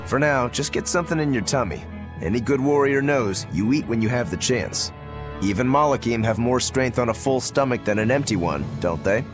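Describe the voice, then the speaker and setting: A man's voice: medium pitch, expressive, a little fast, music in the background, natural voice. A man playing a seasoned, tactful, kindly warrior in a video game. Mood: reassuring. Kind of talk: English game voice acting.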